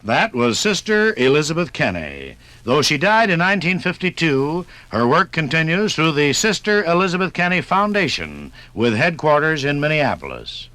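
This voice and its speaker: A man's voice: on the high side, sing-song, normal speed, hardly any pauses, pushed and bright. An American man reading formal scripted narration for a 1950s radio documentary.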